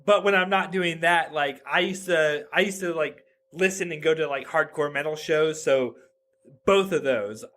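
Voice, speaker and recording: Bright-voiced chatty man in his thirties. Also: on the high side, moderate pace. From Reno, Nevada. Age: 30s. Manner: chatty and joking, many "like" fillers and restarts. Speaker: podcast guest, web developer. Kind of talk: casual interview chat.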